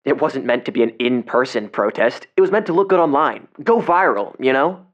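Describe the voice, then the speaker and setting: A man's voice: mid-range voice, expressive, brisk, natural voice. Actor as cocky teenage boy, muffled sound. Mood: evasive, casual. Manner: casual, slangy, bristles when challenged. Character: cocky, defensive seventeen-year-old male amateur explorer. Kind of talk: audio drama phone dialogue.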